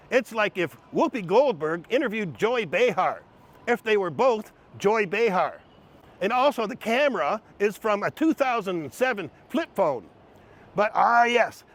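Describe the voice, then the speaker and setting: A man's voice: high-pitched, very animated, measured pace. A man hosting a commentary video, mocking his subjects in a snarky, sarcastic way. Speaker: commentary host.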